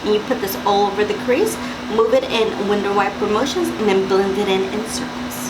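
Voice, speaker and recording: A young woman with a raspy morning voice, chatting and instructing through a makeup tutorial at home. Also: mid-range voice, brisk, low-quality recording. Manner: chatty, instructive, with fillers and asides. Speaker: makeup vlogger. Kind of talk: makeup tutorial talk to camera. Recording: loud background hiss and air-conditioner hum.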